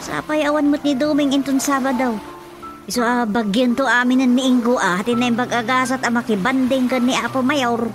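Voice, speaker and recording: A woman's voice: on the high side, fairly even intonation, brisk, over music, close to an everyday voice. A woman acting a frank village daughter advising her father in a radio drama, with an Ilocano accent. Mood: frank, persuasive.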